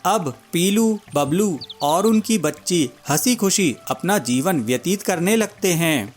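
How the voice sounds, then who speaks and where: A man's voice: high voice, expressive, slow. A man narrating a scripted children's story as the storyteller. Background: music.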